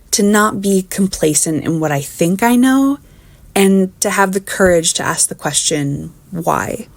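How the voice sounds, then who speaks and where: A woman in her twenties with a slightly low voice records a personal message as a fan. She is articulate and earnest, sounding appreciative, in a dry recording. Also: darkish tone, no room sound. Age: 20s. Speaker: fan sending in a recorded clip. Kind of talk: prepared personal testimonial.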